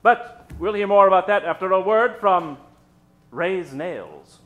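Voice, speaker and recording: A man hosting and narrating an old-time radio show theatrically, with dramatic pauses. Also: high-pitched, animated, phone-quality sound. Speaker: host and narrator.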